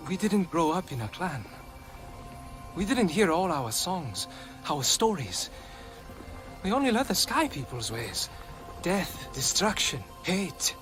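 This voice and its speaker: Doubting young alien, game drama, raised pitch, expressive intonation, troubled. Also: male voice, takes it slowly, long pauses, low-quality recording. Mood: troubled, bitter. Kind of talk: dramatic game cutscene acting. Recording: music behind the voice.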